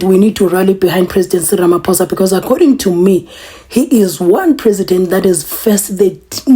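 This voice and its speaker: A woman's voice: mid-range voice, warm tone, breathy edge. A tired woman with a South African accent, talking earnestly off camera as host of her political commentary vlog. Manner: earnest, conversational, with restarts. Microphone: voice-over, off camera.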